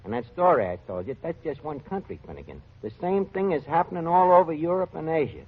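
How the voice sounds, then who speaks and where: An actor playing an earnest working-class tavern manager with streetwise, ungrammatical talk in a 1940s radio show. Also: male voice, on the high side, sing-song, quick pace, husky, full character voice. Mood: grave, urging. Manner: colloquial, streetwise, uneducated grammar. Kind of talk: 1940s radio comedy-drama acting. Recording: muffled vintage radio recording.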